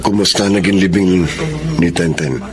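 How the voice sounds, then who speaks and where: A man's voice: sits low, fairly even intonation, moderate pace, crowd noise, lightly acted. An actor playing a concerned, apologetic middle-aged jailed father in a radio drama, with a Filipino accent and an earnest, tender delivery. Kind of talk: Tagalog radio drama acting.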